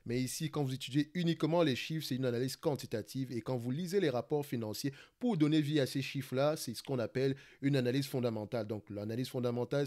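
A man with a Cameroonian accent talks fast through a live screen-recorded stock analysis. He often searches for words and restarts his sentences. He keeps checking in with his listeners as he goes. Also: medium pitch, a little mellow. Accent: Cameroonian French. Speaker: investing channel host.

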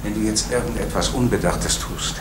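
A man as a low-voiced evasive post official. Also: normal speed, close to an everyday voice. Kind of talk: 1980s East German radio crime drama. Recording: noisy old radio play recording.

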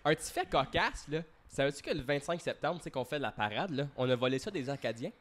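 A man's voice: fairly high pitch, big pitch swings, fast, a bit bright. A man with a Franco-Ontarian French accent hosting a casual podcast in a living room, often chiming in to agree. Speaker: podcast host. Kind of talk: casual chat. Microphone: handheld mic.

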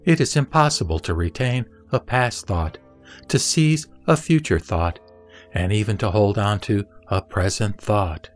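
A man playing the Buddha as a serene teacher, reciting scripture in a measured, didactic, emphatic way. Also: on the low side, faint music behind, lightly acted. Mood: questioning, instructive. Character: the Buddha, serene male spiritual teacher instructing a disciple. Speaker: sutra audio drama reader.

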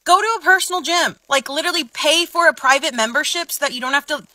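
A woman's voice: high voice, fast, pushed and bright, dry recording. A woman in her twenties vlogging from a parked car on her phone, emphatic and full of "like" fillers. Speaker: social media creator. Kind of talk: casual phone vlog rant. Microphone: phone held close.

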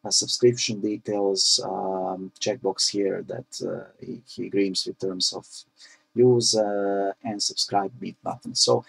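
A man whose first language is Croatian, walking through a coding tutorial in a halting, explanatory way with frequent "uh" fillers, recorded at his computer. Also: low voice, level tone, gravelly, husky, no room sound, low-quality recording. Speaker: programming tutorial presenter.

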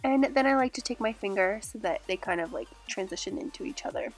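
A woman's voice: medium pitch, expressive, fast, faint music behind. A young woman with a stuffy, nasal voice from a cold, casually talking through a makeup tutorial step by step. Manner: chatty, upbeat, describing each step. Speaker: beauty vlogger. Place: small indoor room with glitter backdrop.

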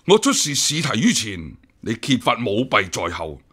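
A senior imperial examiner in a Cantonese radio drama, with a Hong Kong accent. The voice is husky and forceful with very lively pitch. He speaks formally and smoothly, guarded and easily offended. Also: normal speed, exaggerated voice. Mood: cautious, persuasive.

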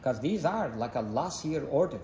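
A man's voice: a little high, big pitch swings, drawn-out words, dark tone, reverberant, a little hiss or hum. A man working at a store, matter-of-factly explaining rising material prices to a customer. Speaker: store worker. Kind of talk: customer conversation. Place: large flooring store.

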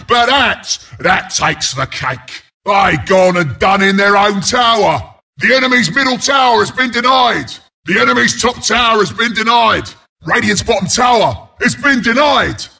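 An actor voicing a mean, cocky porcupine beast as a video game announcer, with a British accent, a gravelly rasp and a rowdy, taunting, blunt delivery. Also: male voice, sits high, pushed and bright, exaggerated voice. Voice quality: gravelly, raspy. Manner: taunting, rowdy, blunt, mock-sympathetic asides.

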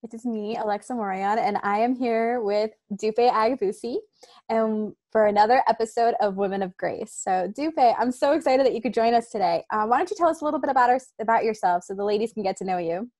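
A young adult woman hosting an interview over a video call from home, friendly and eager, quick to laugh along. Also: on the high side, a little mellow, dry recording, studio quality. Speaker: host. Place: home room. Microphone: laptop webcam on a video call.

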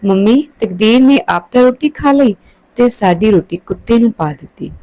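Woman voicing a bratty, spiteful little girl, mellow tone. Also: on the high side, lively intonation, normal speed, dry recording, voice pushed up, close to an everyday voice, rough recording. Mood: tattling. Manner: defiant, taunting. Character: bratty spiteful young girl, younger sister.

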